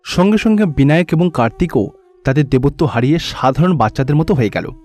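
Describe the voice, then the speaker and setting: A man's voice: on the high side, lively intonation, fast, a little mellow. A man narrating a scripted cartoon story as its storyteller.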